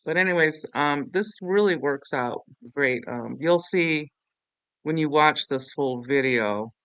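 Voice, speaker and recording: Bright, clear-voiced man giving a casual tutorial. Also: fairly high pitch, takes it slowly, no echo. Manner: informal, rambling, self-deprecating. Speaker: tutorial host. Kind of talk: casual screen-recording software walkthrough.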